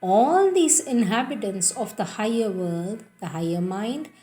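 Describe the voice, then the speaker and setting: A woman reading spiritual teachings aloud in a devotional style, deliberate and emphatic, repeating phrases for emphasis. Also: medium pitch, lively intonation, dark tone. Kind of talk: devotional read-aloud with announced quotations.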